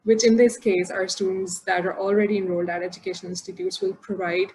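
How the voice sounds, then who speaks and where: A woman's voice: medium pitch, flat delivery, fast talker, darkish tone, a little hiss or hum, low-quality recording. A woman from Pakistan, a doctoral scholar and former lecturer, giving a composed prepared statement.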